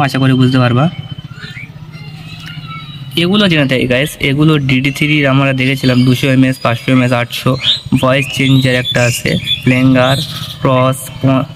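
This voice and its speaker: A man's voice: mid-range voice, slight background noise, low-quality recording. A man giving an informal gear walkthrough, explaining step by step and often checking that the listener follows. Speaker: audio gear reviewer.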